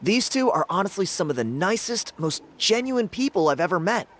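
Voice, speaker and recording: A man's voice: high voice, big pitch swings, normal speed, fairly bright tone, exaggerated voice. An actor playing a friendly, enthusiastic young tribesman in a video game, with an emphatic, effusive manner.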